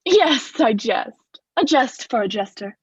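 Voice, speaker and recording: A woman acting a coolly scheming aristocrat in a radio play, formal and measured, feigning friendly concern. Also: on the high side, very animated, husky, heavily acted. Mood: quietly menacing. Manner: formal, measured, slyly solicitous. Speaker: student actor in a radio play. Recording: echoey, slightly muffled recording.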